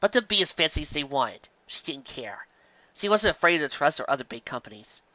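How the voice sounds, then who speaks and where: A story reader with a bright, forceful voice, reading a pony fan story aloud in a lively, expressive way. The sound is dull and lo-fi, and the room is dry. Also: mid-range voice, a little fast, dry recording.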